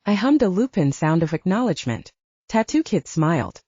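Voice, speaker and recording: A woman narrates an audiobook story in a relaxed, dark-toned voice. Her pitch moves around a lot, and the recording is very dry and a little dull. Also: normal speed, husky, no echo. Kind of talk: scripted fiction narration.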